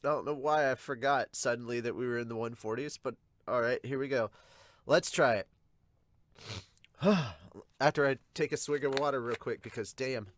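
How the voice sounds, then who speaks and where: A man's voice: mid-range voice, normal speed. A man with congested sinuses hosting a casual reaction show, rambling and self-deprecating with some mild swearing. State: congested, sniffly from sinus trouble. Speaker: podcast host and manga reactor.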